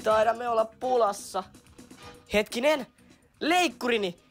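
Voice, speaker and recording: A woman's voice: on the high side, soft background music, close to an everyday voice. A woman voicing a plucky, determined young racer in an amateur cartoon dub. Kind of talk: animated fandub acting.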